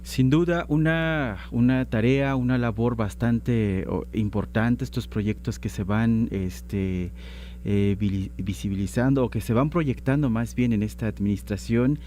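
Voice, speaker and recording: A man's voice: mid-range voice, a little slow, mellow, a little hiss or hum. A Mexican man hosting a conversational radio interview, feeling his way toward words with hesitant phrasing. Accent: Mexican Spanish.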